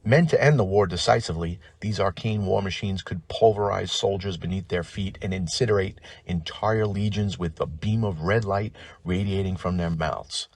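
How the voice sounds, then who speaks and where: A man's voice: deep voice, normal speed, hardly any pauses, slightly rough, no echo. A man hosting a tabletop gaming lore show, reading scripted narration like a dramatic storyteller and slipping into wry, informal asides.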